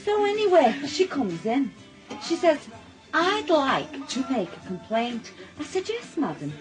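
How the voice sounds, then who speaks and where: A woman's voice: sits high, very animated, measured pace, soft background music. A woman playing a chatty, put-upon wife telling anecdotes in a radio drama, in a theatrical Northern English manner of speech. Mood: chatty complaining. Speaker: actor playing party guest in radio drama. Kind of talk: naturalistic radio drama acting.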